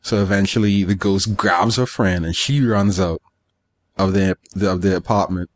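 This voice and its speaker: A man co-hosting a podcast, chatting casually and retelling things in a halting, informal way. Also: low-pitched, steady tone. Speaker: podcast co-host. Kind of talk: casual chat, recounting episodes.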